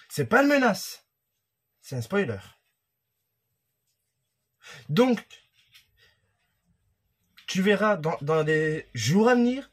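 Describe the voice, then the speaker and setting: A man's voice: sits high, a bit bright, husky. A young man from France, a YouTuber, ranting heatedly and accusingly to camera from a room at home. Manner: heated and accusatory, talking straight to the target. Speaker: YouTuber commentator. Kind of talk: casual rant to camera. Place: room at home with a creaky wooden floor.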